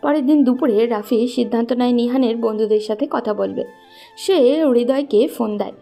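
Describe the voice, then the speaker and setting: A woman's voice: a little high, moderate pace, mellow, faint music behind. A woman narrating a scripted story as its storyteller.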